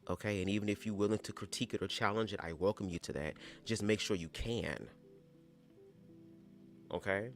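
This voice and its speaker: A young man with a very low, calm voice hosts a casual livestream from home, talking to viewers in a chatty, sassy way. Soft music plays faintly behind him. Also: brisk, long pauses. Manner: chatty, sassy asides with "girl" and "baby". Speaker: livestream host. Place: home, squeaky old chair.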